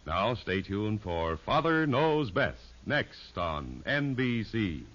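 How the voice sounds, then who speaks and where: A man's voice: fairly low pitch, big pitch swings, slow pace, a bit bright. An American man reading a scripted promo as a 1950s radio network announcer. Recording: dull old radio recording.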